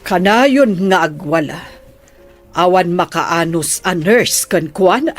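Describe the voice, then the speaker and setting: A woman's voice: fairly low pitch, expressive, slow, faint music behind. A woman with an Ilocano accent playing an exasperated, complaining wealthy mother in a radio drama. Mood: bitter, weary. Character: exasperated wealthy madam, weary mother of a sick son.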